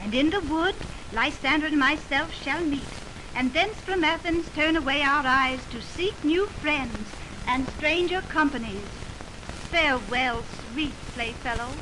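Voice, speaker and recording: A woman's voice: high-pitched, measured pace. An actress playing an earnest, devoted young Athenian woman in love, declaiming verse in a 1920s radio Shakespeare production. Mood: tender. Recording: noisy 1929 radio aircheck on film.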